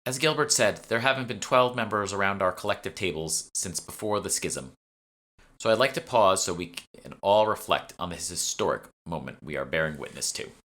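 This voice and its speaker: A man's voice: low-pitched, lively intonation, moderate pace, fairly bright tone. A man voicing a formal, dignified woman addressing a guild assembly in a fantasy audiobook. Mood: solemn. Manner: formal, ceremonious. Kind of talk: audiobook character dialogue.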